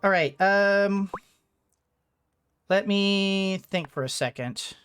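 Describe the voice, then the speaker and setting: Let's-play host, mid-pitched and unhurried, musing in fragments, casual and playful, light music behind. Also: clean tone. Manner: thinks out loud in fragments, talking to self. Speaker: gaming video host.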